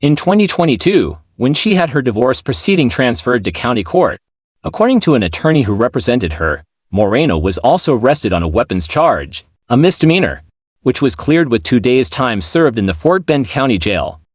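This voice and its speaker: A man's voice: mid-range voice, big pitch swings, moderate pace, no echo, clean studio sound. A man reading a scripted news report as a narrator.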